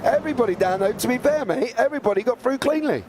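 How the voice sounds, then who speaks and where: A man, a sim racing commentator, hoarse and croaky from a recent flu, chatting and calling live race action with excited play-by-play. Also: extremely high pitch, quick pace, noisy background.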